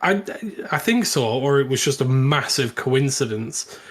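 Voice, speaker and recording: A man with a medium-pitched voice chats casually as a podcast co-host. He is measured and mild in how he gives his opinions. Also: expressive, a bit bright. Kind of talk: casual film chat.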